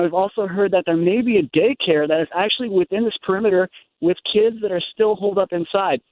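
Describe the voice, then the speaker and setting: Male American radio reporter, slightly high-pitched, live breaking-news hits over a thin phone line, unscripted and halting. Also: no echo.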